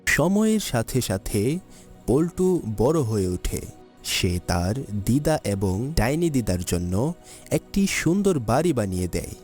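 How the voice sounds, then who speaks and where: A man's voice: medium pitch, very animated, a little slow, a little mellow, faint music behind. A man narrating a scripted children's cartoon story as its storyteller.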